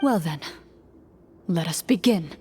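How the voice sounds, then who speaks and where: A woman's voice: on the low side, expressive, takes it slowly, mellow. A woman voicing a composed game master who narrates formally and dramatically in a video game. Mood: composed, solemn. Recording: atmospheric music behind.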